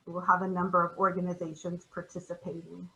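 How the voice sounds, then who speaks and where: A woman presenting community services informally on a video call, hesitant, with frequent pauses and ums. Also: lowish voice, little pitch movement, bright, forceful tone. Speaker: community organization representative. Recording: dull, lo-fi video call audio.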